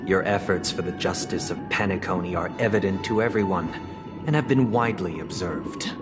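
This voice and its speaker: A man voicing a calm, low-voiced idealist leader. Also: close to an everyday voice. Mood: persuasive. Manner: measured, formal, gently condescending. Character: calm, persuasive young male leader, idealistic and controlling. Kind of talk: video game voice acting. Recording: game cutscene with loud score. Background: orchestral music.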